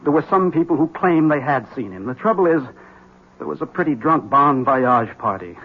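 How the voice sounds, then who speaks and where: Brief: a desperate husky man, tense and urgent, slightly high voice, dull old radio sound. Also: lively intonation, moderate pace. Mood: haunted, urgent. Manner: tense, urgent, bursts of agitation. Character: haunted, desperate ex-husband warning a rival. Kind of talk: 1970s radio drama acting. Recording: dull 1970s radio broadcast.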